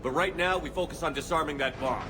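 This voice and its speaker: An actor playing a resolute, cool-headed military commander in a video game, speaking in terse, firm phrases. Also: male voice, fairly high pitch, fast talker, background noise, natural voice. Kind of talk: video game cutscene acting.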